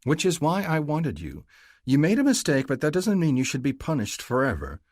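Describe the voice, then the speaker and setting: A man's voice: fairly high pitch, huge pitch swings, moderate pace, a little mellow, no echo, studio quality, pitched above the performer's own voice. A man voicing an affectionate young human woman who speaks in an emphatic, rising way. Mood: earnest.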